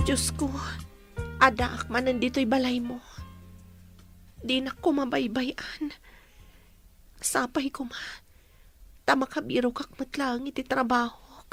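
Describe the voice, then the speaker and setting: A woman's voice: high-pitched, flat delivery, a little slow, pauses often, fairly bright tone, faint music behind. A woman playing a pitiful young orphan, humble, grateful and plaintive, in an Ilocano radio drama. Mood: wistful, muttering.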